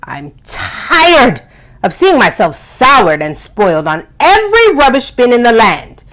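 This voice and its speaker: An angry, mighty sky spirit from a storybook folktale, acted out by a woman. It speaks slowly and solemnly, pushing each warning out with force, raised above an everyday talking pitch. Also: wildly swooping pitch, full character voice. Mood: brooding, fed up. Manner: slow, forceful, solemn delivery.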